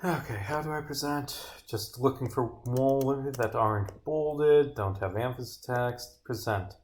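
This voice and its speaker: A man's voice: mid-range voice, a little slow, a little mellow. A man streaming as he works on his game, talking casually to himself with sweary, grumbling asides. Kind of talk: casual livestream muttering while reading and bugfixing script aloud. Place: indoors at a computer, streaming.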